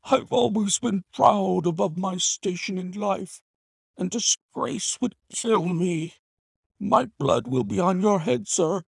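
A man voicing a desperate, proud middle-aged butler whose voice is hoarse with emotion, pleading not to be dismissed. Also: takes it slowly, no room sound, studio quality, exaggerated voice. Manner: pleading, high and strained. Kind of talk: audiobook character voice.

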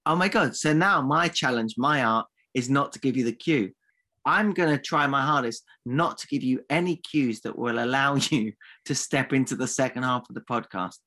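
A man co-hosting a comedy podcast, bantering with teasing, theatrical mock insults. His voice is bright and forward, at an ordinary middle pitch. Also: normal speed. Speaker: podcast co-host. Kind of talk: comic banter.

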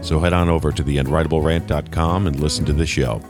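A man with an extremely low voice reads a podcast promo in a plain, steady way. Clear music plays underneath the whole read. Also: fast. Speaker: promo announcer.

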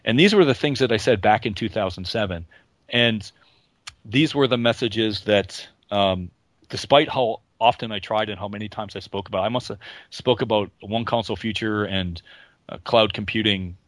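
Fairly low voiced guy laying out his case with confidence, dry close sound. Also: moderate pace, no echo. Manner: confident, explanatory, emphatic. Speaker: game developer guest. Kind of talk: interview answers.

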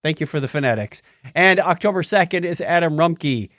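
Lively higher-pitched man, podcast co-host. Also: fairly quick, dry recording, studio quality. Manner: jokey, chatty. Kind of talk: casual news and birthday banter.

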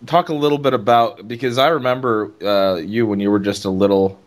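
A man's voice: fairly low pitch, steady tone, fairly quick. A man hosting a gaming podcast, asking loose questions with fillers and the odd swear word. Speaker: podcast host. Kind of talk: casual podcast chat.

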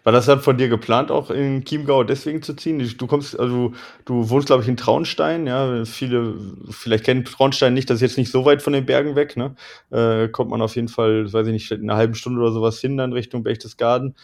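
A German man hosting a casual interview, chatty and asking leading questions that end in a quick check for agreement. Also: mid-range voice, fairly even intonation, darkish tone. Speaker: interviewer, podcast host.

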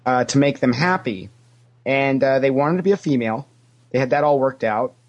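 A man's voice: medium pitch, moderate pace, highs cut off. A man giving casual, anecdotal answers as a podcast guest, a talkative storyteller who acts out what people said. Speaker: podcast guest, former theme park character actor.

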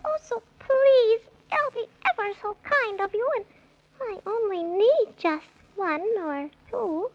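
A woman playing a small, earnest, plucky squirrel boy in a rhyming 1930s children's radio serial, with an excitable, childlike manner. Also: very high voice, takes it slowly, full character voice. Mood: pleading. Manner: excitable, pleading, childlike exclamations. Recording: noisy, muffled 1930s radio recording.

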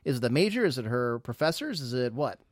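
A man's voice: mid-range voice, very animated, fast, no echo, studio quality. A man hosting a live podcast, chatting casually, rambling and teasing with mock boasts. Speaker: podcast host.

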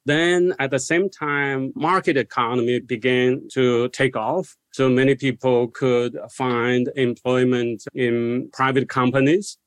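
A man in his sixties from China answers interview questions in a measured, explanatory way. His voice sits at an ordinary middle pitch. The recording is dry and close, with no room sound. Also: steady tone, clear, resonant tone, no echo. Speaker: guest scholar of religion.

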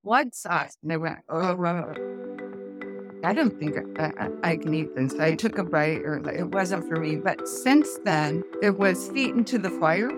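A woman's voice: lowish voice, animated, unhurried, over music. A woman in her fifties from the United States, telling personal stories as an author being interviewed. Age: 50s. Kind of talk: conversational storytelling interview.

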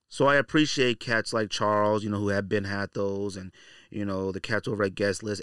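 A man's voice: fairly low pitch, fairly bright tone, clear, resonant tone, no room sound, studio quality. An American man hosting a podcast solo, talking casually in a slangy, conversational, emphatic way. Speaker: sneaker podcast host.

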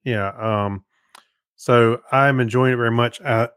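A man co-hosting a podcast, chatting casually about shows in a halting way, often searching for words. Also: mid-range voice, steady tone, fairly bright tone, clear, resonant tone, no echo, clean studio sound. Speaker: podcast co-host.

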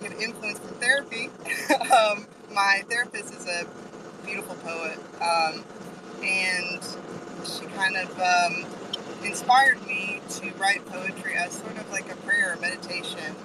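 A woman's voice: medium pitch, unhurried. A woman in her forties, a truck driver, introducing herself in a casual audio chat in a candid, self-deprecating way with many ums while driving. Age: 40s. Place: truck cab on the road. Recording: distant mic with loud road noise.

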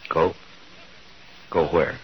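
An actor playing a weary, fair-minded frontier marshal in a measured, grave way, in 1950s radio western style. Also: male voice, highs cut off, a little hiss or hum. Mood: grave, sympathetic. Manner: measured, grave, hesitant pauses. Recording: vintage 1950s radio drama recording.

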